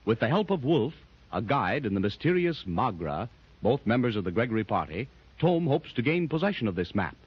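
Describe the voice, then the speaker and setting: Husky-voiced 1930s radio announcer man. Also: mid-range voice, big pitch swings, fairly quick. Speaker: narrator. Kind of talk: 1930s radio serial narration. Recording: muffled 1930s radio transcription.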